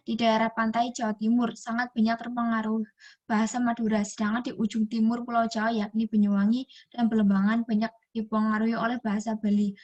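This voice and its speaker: A woman's voice: fairly high pitch, a little mellow, highs cut off. A young woman from Indonesia, a university student reading a class presentation aloud from a text and often restarting words. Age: young adult.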